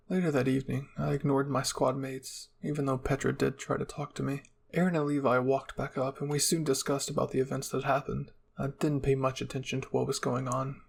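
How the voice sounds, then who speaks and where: A man's voice: mid-range voice, vocal fry, a little hiss or hum. A man reading an anime fan story aloud as a dramatic first-person narration. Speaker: fan fiction reader.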